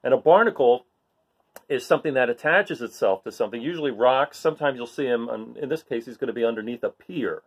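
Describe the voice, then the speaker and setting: Lively middle-aged male storytime host. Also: mid-range voice, fast talker, slightly rough. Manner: explanatory, addressing children directly. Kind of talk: read-aloud talk to children. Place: bright living room, indoors.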